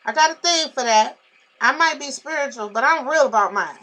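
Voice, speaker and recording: A woman with a naturally loud voice tells a personal story to her viewers, venting in a blunt, emphatic way. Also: high voice, fairly quick, low-quality recording. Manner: blunt, emphatic, animated retelling with repetitions. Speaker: vlogger.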